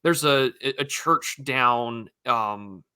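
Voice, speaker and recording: A man's voice: mid-range voice, slow, bright, forceful tone, clean tone. A man hosting a podcast, thinking aloud in a casual chat with restarts and wry asides. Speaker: podcast host.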